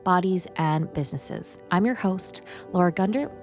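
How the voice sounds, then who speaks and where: A middle-aged woman hosting a fitness podcast, moving from a scripted intro into relaxed, upbeat interview questions. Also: fairly low pitch, moderate pace, music in the background. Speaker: podcast host. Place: New York City.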